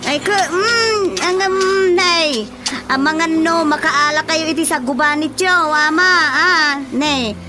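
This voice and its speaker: A flustered village woman with a high voice and Ilocano accent. She stammers, pleads and exclaims in radio-comedy style, nearly swamped by very loud music. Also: slow pace. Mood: flustered, pleading. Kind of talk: radio drama comedy acting.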